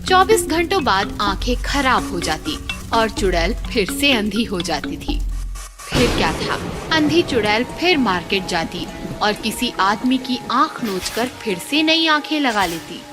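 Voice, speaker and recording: A woman narrates a cartoon horror tale in a high, lively voice, rising and falling with drama. Loud music runs under her narration the whole time. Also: measured pace. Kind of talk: scripted storybook narration.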